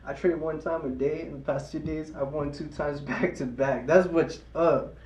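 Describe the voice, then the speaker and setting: A man's voice: fairly high pitch, fairly even intonation, normal speed, a little mellow, a little hiss or hum. A man with a light voice hosting a live trading stream, chatting casually with viewers and cheering on his trades. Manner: hyped, cheering and chanting during trades.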